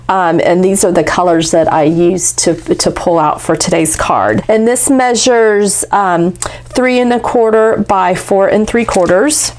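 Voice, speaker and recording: A woman's voice: medium pitch, darkish tone. A young woman with a congested, stuffy nose, chatting casually through a craft tutorial with lots of fillers. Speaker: craft demonstrator.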